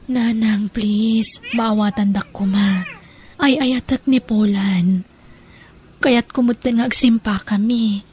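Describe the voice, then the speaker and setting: A woman's voice: medium pitch, steady tone, slow, darkish tone, slight background noise, close to an everyday voice. A woman playing a devoted, sentimental Filipino mother and wife in an emotional audio drama, with a Filipino accent. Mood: pleading.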